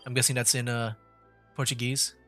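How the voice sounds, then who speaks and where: A man's voice: medium pitch, little pitch movement, normal speed, a bit bright. A man chatting casually as he commentates on a game for a livestream. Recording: game music in the background.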